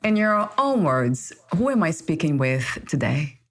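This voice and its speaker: Slow, deliberate woman podcast host. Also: sits low, very animated, soft background music. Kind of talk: scripted introduction and interview questions.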